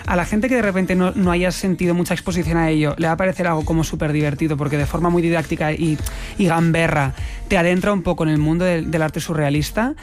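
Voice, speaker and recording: Chatty, higher-voiced man from Spain. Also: fairly even intonation, fast talker, soft background music. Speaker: guest actor being interviewed. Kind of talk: casual interview chat.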